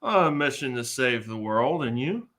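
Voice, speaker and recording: A man's voice: medium pitch, very animated, measured pace, a bit bright. A man acting a bumbling, cowardly but boastful hero in a comedic live table read, blustering and exaggerated.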